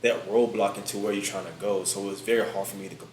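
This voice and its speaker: A man's voice: fairly low pitch, fairly even intonation, fast talker, darkish tone. A man chatting casually on a podcast in an informal, blunt, matter-of-fact way. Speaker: podcast speaker. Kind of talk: casual chat. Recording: roomy echo, faint background noise.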